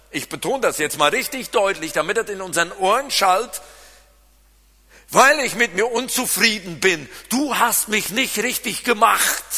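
A man with a Siegerland German accent preaching a sermon, swinging from loud emphasis to quiet asides. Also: high voice. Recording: long reverb of a large hall.

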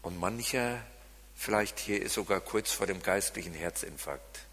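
A man with a Siegerland German accent preaching a sermon, swinging from loud emphasis to quiet asides. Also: low-pitched, normal speed. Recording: long reverb of a large hall.

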